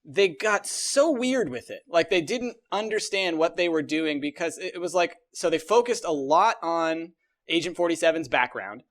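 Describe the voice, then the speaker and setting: A man with a moderately high voice talks as a podcast host among peers. His delivery is casual, opinionated and emphatic, running on in loose sentences with casual swearing. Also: lively intonation, normal speed. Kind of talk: animated casual opinion chat.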